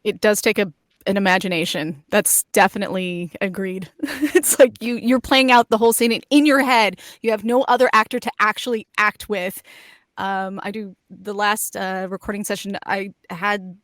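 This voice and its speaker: Female panelist, medium pitch, casual conversational delivery, dry home-booth recording. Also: steady tone, normal speed, fairly bright tone, dry recording. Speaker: voice actor.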